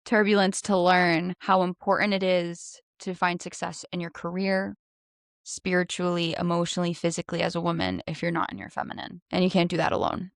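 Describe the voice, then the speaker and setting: An American woman with a slightly low voice, talking as a podcast guest. She answers in a conversational way, and the sound is very dry. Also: flat delivery, a little fast, no room sound. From United States.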